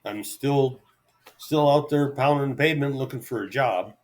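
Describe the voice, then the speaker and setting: A middle-aged man talks off the cuff to his camera in an average-pitched voice. His delivery is easygoing and halting. He gives an unhurried, self-deprecating ramble rather than a polished script. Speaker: vlogger. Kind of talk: unscripted solo ramble to camera.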